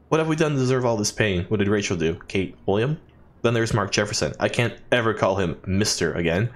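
Expressive man reading game text aloud. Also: on the low side. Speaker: let's player.

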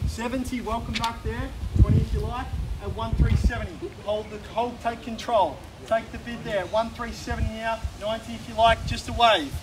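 Australian male auctioneer, 30s, very high pitch, persuasive repetitive bid-calling, recorded outdoors from a distance with loud street noise. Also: steady tone. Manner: brisk persuasive auction patter, repeating the figures. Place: outdoors on a suburban street in front of a house. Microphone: camera filming from some distance, no mic seen.

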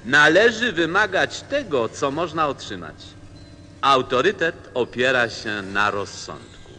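A man's voice: mid-range voice, expressive, bright, forceful tone, a little hiss or hum. An actor playing a pompous, lonely king in a radio play, grandiose but hesitant as he seeks obedience. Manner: grandiose, hesitant, measured with sudden swoops. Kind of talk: theatrical radio play acting. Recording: long reverb over music.

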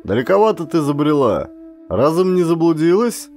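A man's voice: fairly high pitch, sing-song, moderate pace, darkish tone, soft background music, pitched above the performer's own voice. A man voicing a good-natured, joking Cossack adventurer in an audiobook, with a hearty, teasing manner. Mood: friendly teasing.

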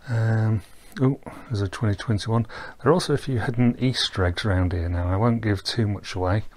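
A man giving a relaxed, rambling voice-over walkthrough of a virtual boat tour, with frequent ums and restarts. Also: on the low side, brisk. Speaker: tour guide. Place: recorded while wearing VR goggles, not on the boat.

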